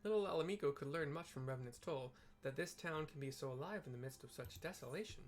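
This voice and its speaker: A man voicing a thoughtful, modest fantasy game recruit with formal, measured phrasing. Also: fairly high pitch, soft background music, natural voice. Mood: reflective.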